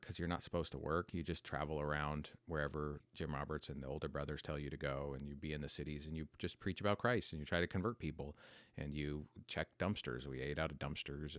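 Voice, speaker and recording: Podcast host, male, 40s, American. Very deep voice recorded dry, thinking aloud through a personal story. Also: no echo. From United States. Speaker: podcast host telling their life story. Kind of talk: reflective, unscripted personal storytelling.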